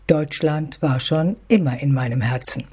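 A man's voice: a little high, moderate pace, darkish tone, slight background noise. A man narrating a short documentary report and interviewing a guest with short encouraging prompts.

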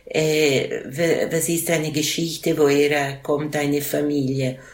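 A woman's voice: deep voice, flat delivery, takes it slowly, a little mellow, reverberant. A woman from Switzerland, a psychotherapist and author talking in an interview, hesitant with many fillers and restarts. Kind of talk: conversational interview.

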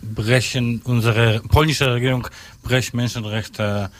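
A man, an activist speaking halting, language with broken grammar. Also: medium pitch, fairly even intonation. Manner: halting non-native speech with broken grammar. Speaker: member of a small refugee aid group.

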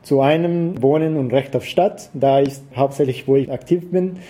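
A man from Costa Rica, a native Spanish speaker with a Latin American accent, answering interview questions as an activist in a halting way, searching for words. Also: mid-range voice, dark tone.

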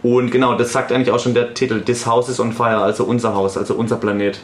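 A man in his thirties, singer and songwriter of a band, talks in a relaxed, easygoing way. His voice echoes around the room over a faint hiss. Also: mid-range voice, fast talker. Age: 30s. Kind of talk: casual interview talk.

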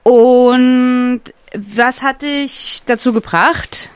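A woman's voice: sits high, very slow, clean tone, no echo. A woman co-hosting a casual chat, curious and enthusiastic, asking short questions. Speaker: co-host.

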